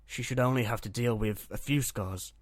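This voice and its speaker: A man playing a calm, reassuring ship's medic in a roleplay series, speaking matter-of-factly. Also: mid-range voice, moderate pace, no echo, lightly acted. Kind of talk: Minecraft roleplay web series voice acting.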